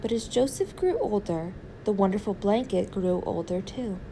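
A woman's voice: medium pitch, sing-song, normal speed, warm tone, slight background noise. A woman reading a picture book aloud as a storytime reader for children.

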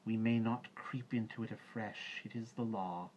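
A man voicing a huge male python who speaks slowly, quietly and deliberately. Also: on the low side, steady tone, lo-fi sound, slight background noise, voice pushed up, lightly acted. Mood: hushed. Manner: slow, hushed, deliberate.

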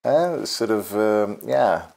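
A man's voice: lowish voice, expressive, slow, vocal fry, a little mellow. Thoughtful man answering interview questions. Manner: thoughtful, hedging, with fillers. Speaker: event participant interviewed.

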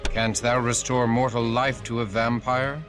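Brooding righteous crusader vampire male actor, formal old timey talk, video game, music pretty clear behind. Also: medium pitch, flat delivery, a little slow, close to an everyday voice. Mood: righteous challenge. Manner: formal archaic speech. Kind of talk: theatrical video game acting.

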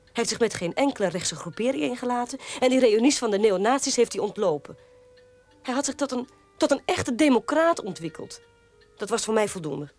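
An actress playing a weary, proud sister in a radio drama, speaking in a measured way. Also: female voice, a little high, animated, faint music behind. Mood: defensive. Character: weary, proud sister defending her disgraced father.

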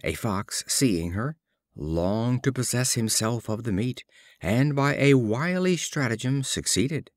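A man narrating short fables as a scripted storybook reading, in a deliberate, expressive storytelling manner. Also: medium pitch, dry recording, clean studio sound. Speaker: audiobook narrator.

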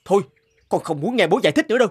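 An actor playing an impatient, proud adult son in an animated story, with an emphatic, scolding manner. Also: male voice, high voice, racing, husky. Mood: exasperated. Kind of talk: animated moral story dubbing.

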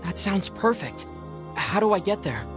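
A man's voice: sits high, very animated, unhurried. Earnest teenage boy hero, music behind. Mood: worried, urgent. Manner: sincere, plain-spoken. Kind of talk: naturalistic game voice acting.

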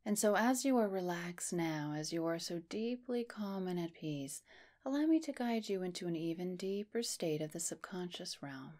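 A woman in her thirties speaking as a hypnotherapist in a soothing ASMR guided hypnosis, soft and breathy, often slipping into a whisper, close to the mic. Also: fairly low pitch, animated, moderate pace. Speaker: hypnotherapist, host. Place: small dim indoor room, close up. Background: soft music.

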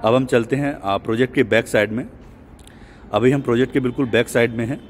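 A man's voice: steady tone, fairly quick, slight background noise. Man giving a walking property sales tour. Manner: explanatory sales pitch, mixes in English terms. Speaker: real estate agent. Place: outdoor construction site.

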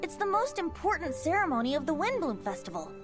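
Boyish, lilting young bard. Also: female voice, very high voice, very animated, fast, over music. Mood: eloquent. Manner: theatrical, flowery, teasing. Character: boyish, playful young male bard, whimsical and eloquent.

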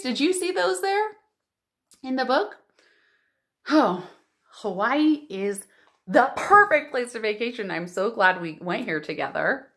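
A woman in her thirties hosting a storytime for young children, reading aloud and chatting in an expressive, exclamatory way. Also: fairly high pitch. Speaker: children's librarian and storytime host. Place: living room at home.

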